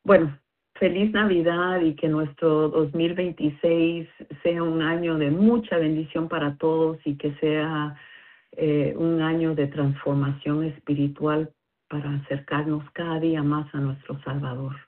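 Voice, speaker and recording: Woman, non-native speaker, slow, hesitant, lots of fillers, tinny phone line. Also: low voice, little pitch movement. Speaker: caller. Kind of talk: bible study message.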